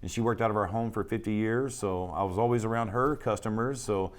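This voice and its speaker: A man's voice: fairly low pitch, brisk. A middle-aged man from Missouri, a guest on a local talk show, speaking with many fillers and restarts. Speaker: guest, charity council president. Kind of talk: casual interview chat.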